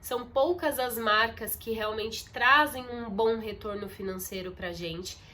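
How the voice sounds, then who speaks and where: A woman's voice: on the high side, unhurried, pushed and bright, a little hiss or hum. A young woman talking to her phone camera in a casual, confiding vlog, her voice muffled and nasal from a stuffy nose. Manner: chatty, confiding, addresses viewers as "gente". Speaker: content creator. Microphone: phone on a ring light.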